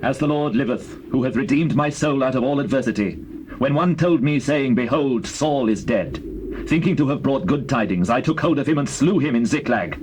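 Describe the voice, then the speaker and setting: A man's voice: mid-range voice, animated, normal speed, darkish tone, natural voice. An actor with a British accent playing a stern, devout warrior king in a dramatized scripture, declaiming solemnly. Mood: stern, righteous. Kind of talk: dramatized scripture, British classical stage acting. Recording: faintly noisy old cassette recording.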